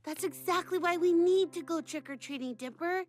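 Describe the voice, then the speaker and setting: A woman's voice: very high-pitched, normal speed. A woman voicing an earnest young cartoon girl. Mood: earnest urging. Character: earnest twelve-year-old cartoon girl, pleading with twin.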